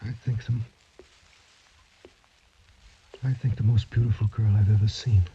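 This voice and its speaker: Man playing a dark-voiced, proud doomed young gentleman. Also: lowish voice, fairly even intonation, fast talker, lightly acted. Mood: tender, humble. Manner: formal, courtly, brooding. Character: proud, gallant young French gentleman, bitterly resigned to die. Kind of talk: 1940s radio drama acting. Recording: muffled, noisy old radio recording.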